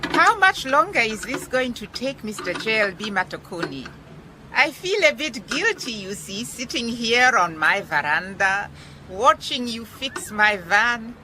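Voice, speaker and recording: A genial, slightly impatient lady in a radio play, with a Southern African accent. Her fairly high voice moves up and down a lot, over prominent noise and vehicle sounds. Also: big pitch swings, unhurried. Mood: mildly guilty, teasing. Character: genial woman calling impatiently from her veranda. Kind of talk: radio drama acting.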